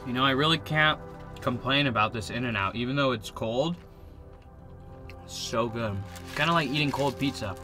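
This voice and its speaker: A man's voice: mid-range voice, normal speed, sharp tone, soft background music. A young man talking casually to camera from inside a truck camper at night, chatty and joking. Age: young adult. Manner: chatty, laid-back, joking. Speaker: vlogger. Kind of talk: casual vlog talk to camera. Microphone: camera mic at close range.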